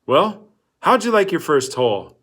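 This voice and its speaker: A man's voice: on the high side, big pitch swings, a little slow, fairly bright tone, pitched above the performer's own voice, heavily acted. A man reading a storybook, voicing a brash teenage boy with a blunt, teasing way of talking.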